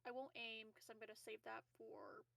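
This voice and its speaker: Creaky, higher-pitched woman, slightly distant. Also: little pitch movement, normal speed, pushed and bright, no echo. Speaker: player in a tabletop roleplaying game. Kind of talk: casual chat.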